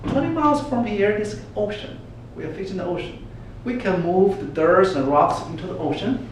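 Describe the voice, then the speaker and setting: A man's voice: sits high, normal speed, voice pushed up, lightly acted. A man playing an eager young grandson proposing something in a told folk tale. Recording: echoey room from far off, faint noise.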